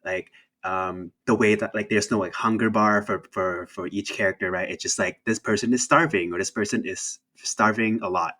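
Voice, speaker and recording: A man's voice: fairly low pitch, steady tone, normal speed, few pauses, sharp tone. A young man from Thailand, an indie game developer, chatting casually as an interview guest, with many fillers and restarts. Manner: hesitant, many fillers and restarts, laughs easily.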